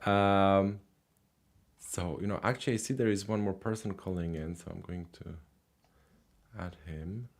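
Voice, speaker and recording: A man's voice: low-pitched, unhurried. A man hosting a live language call-in stream, chatting casually with callers with lots of fillers and repeats. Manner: relaxed, many fillers and repeated words.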